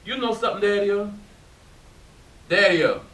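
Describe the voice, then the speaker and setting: A man's voice: sits high, a little slow, sharp tone. An actor playing a weary, grumbling, hard-drinking older working man in a staged play. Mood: needling, indignant. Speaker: actor in a readers theatre play. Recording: hissy, echoey stage pickup from far off.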